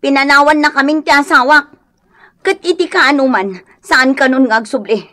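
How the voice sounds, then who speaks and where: Indignant, high-voiced wronged wife. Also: female voice, normal speed, fairly bright tone. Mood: bitterly accusing. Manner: accusing, emotional. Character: wronged, indignant village wife demanding amends. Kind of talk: Filipino radio drama acting.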